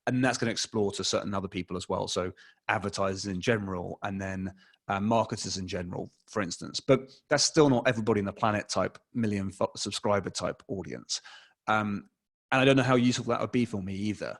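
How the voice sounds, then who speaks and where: A man's voice: fairly low pitch, brisk, creaky. A man in his forties with an English accent, a guest answering interview questions over a video call, talking casually with many fillers and restarts. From England. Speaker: guest, advertising expert. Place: small room at home, indoors. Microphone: desk mic, video call.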